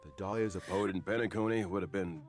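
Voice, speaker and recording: A man voicing an adult male game character who narrates the story in an expressive way. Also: deep voice, quick pace, natural voice. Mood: grave telling. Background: faint game music.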